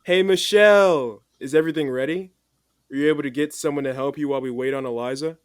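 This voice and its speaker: An actor playing an upbeat, brash executive who talks in casual slang, in an audio drama. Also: male voice, a little high, fast, no room sound, clean studio sound, close to an everyday voice. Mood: businesslike, urgent.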